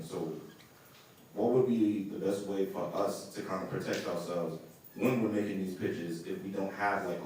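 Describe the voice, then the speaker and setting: Deep-voiced man in an echoing hall. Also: a little mellow, slight background noise. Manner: casual, earnest questioning. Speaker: audience member asking a question. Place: large indoor event space. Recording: echoey hall from far off.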